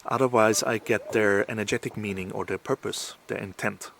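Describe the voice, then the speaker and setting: A man from Austria, a spiritual coach and musician, answering interview questions calmly with hesitant fillers. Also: on the low side, a little fast, vocal fry, a little hiss or hum. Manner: calm, plain-spoken, with hesitant fillers.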